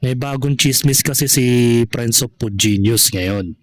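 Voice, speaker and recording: A man vlogging casual gossip commentary to his followers in a halting, repetitive way, often adding tag questions. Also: mid-range voice, a bit bright, dry recording.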